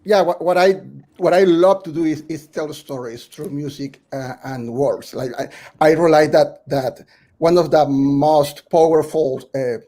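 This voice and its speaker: A fairly high-voiced man from Mexico speaks English on a video call. His manner is earnest and halting, and he often restarts his sentences. He talks as a panelist in a casual discussion. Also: steady tone, mellow. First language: Spanish. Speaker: panelist, theatre creator.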